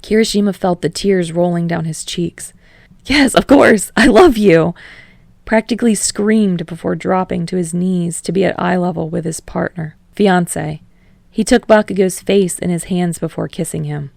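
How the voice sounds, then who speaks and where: A woman's voice: lowish voice, fairly even intonation, normal speed, vocal fry, warm tone, dry recording, clean studio sound. A woman reading fiction aloud as an audiobook narrator in a scripted storytelling style.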